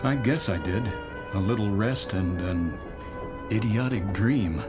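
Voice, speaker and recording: A man's voice: fairly low pitch, a little slow, loud music behind the voice, lightly acted. A man acting in a radio drama as a weary, bewildered businessman on a train, hesitant and stammering. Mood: dazed.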